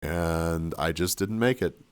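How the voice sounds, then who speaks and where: A man with a deep, low voice talks loosely to his live stream chat, rambling and trailing off. He sounds sniffly and a little hoarse, like he's losing his voice. The sound is dry and close. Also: slow pace, no echo. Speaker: livestreamer. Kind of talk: casual chat with stream viewers. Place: cabin room.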